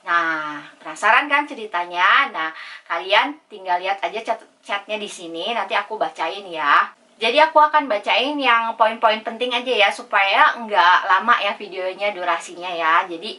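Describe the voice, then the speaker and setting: Female vlog host, chatty and informal, bright forward voice, recorded a little away from the mic. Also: mid-range voice, normal speed, distant mic. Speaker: YouTuber host. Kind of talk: casual vlog explainer, reading out chat messages.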